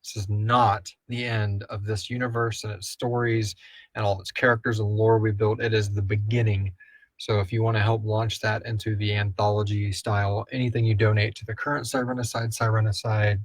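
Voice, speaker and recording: A man's voice: fairly low pitch, level tone, normal speed, no echo. A man co-hosting a live podcast Q&A in an informal, rambling, jokey way, casually reading out and answering listener questions. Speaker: co-host and producer of an audio drama podcast.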